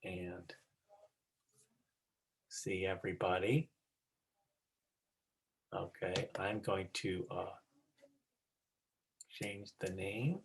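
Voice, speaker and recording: A woman with a very low voice leads an online class as its teacher. She speaks slowly and casually, organizing the group and echoing people's words back with encouragement. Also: steady tone, a little hiss or hum. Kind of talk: casual chat organizing a video call. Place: video call.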